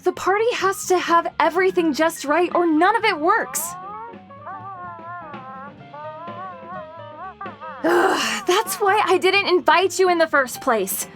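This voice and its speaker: A high-strung woman with a very high voice. She is intense, argumentative and exacting, a perfectionist in an audio dramedy. Light music sits behind her. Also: normal speed, a bit bright. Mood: angry, indignant. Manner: intense, demanding, indignant.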